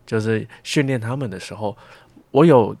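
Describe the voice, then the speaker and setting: A man's voice: on the low side, moderate pace, a little mellow, no echo. A young man with a Taiwanese Mandarin accent, giving reflective, explanatory answers as a student being interviewed. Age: young adult.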